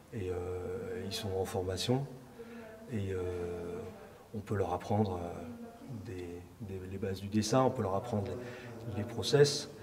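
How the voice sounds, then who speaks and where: A man with a lowish voice gives a spontaneous interview, picked up at some distance from the mic over faint noise. He speaks haltingly, searching for his words. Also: darkish tone.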